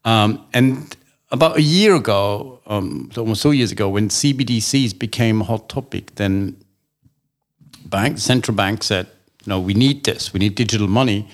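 A man's voice: fairly low pitch, normal speed. A man from Austria in a casual discussion, thinking aloud at length with frequent restarts.

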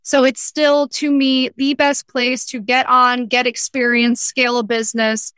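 A young woman chatting casually as an interview guest, talking in an animated, candid and emphatic way. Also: fairly high pitch, measured pace, clear, resonant tone, dry recording. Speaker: podcast guest, freelance entrepreneur.

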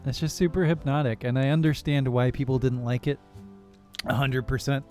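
A man's voice: medium pitch, steady tone, a little fast, darkish tone. A young man co-hosting a casual film podcast, talking in a rambling, jokey way. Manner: laid-back, rambling, jokey. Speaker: podcast co-host. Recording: faint music behind.

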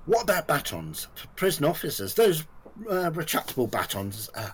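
A comic audio-play figure, acted by a man: an over-excitable British job candidate who justifies himself at length. His rather high voice leaps around melodramatically, over a noisy ambient background. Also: normal speed. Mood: indignant, insistent. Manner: rambling, melodramatic, self-justifying. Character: clueless, self-pitying, over-excitable male job candidate.

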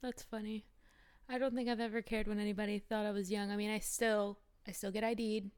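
A woman's voice: mid-range voice, level tone, clean tone. Agreeable woman in her early thirties. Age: 30s. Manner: warm, agreeable, quick short replies. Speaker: podcast co-host. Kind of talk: casual chat.

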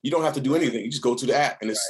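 A man hosting a casual podcast, asking questions in a lively, playful way. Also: medium pitch, very fast, low-quality recording. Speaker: podcast host.

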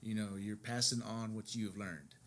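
A man's voice: lowish voice, fairly even intonation, moderate pace, a little hiss or hum. An American man hosting a casual interview, speaking in an encouraging, conversational way and often checking in with short questions. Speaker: podcast host.

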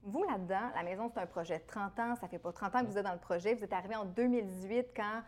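A woman's voice: mid-range voice, quick pace, darkish tone. A woman hosting an interview, asking conversational, curious questions. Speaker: host, interviewer.

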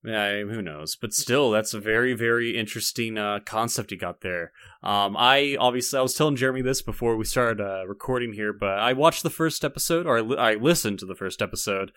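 A man's voice: lowish voice, a little fast, hardly any pauses, a bit bright, clean tone, no echo. A man hosting a podcast in casual chat, talking in a rambling, hesitant way. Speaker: podcast host.

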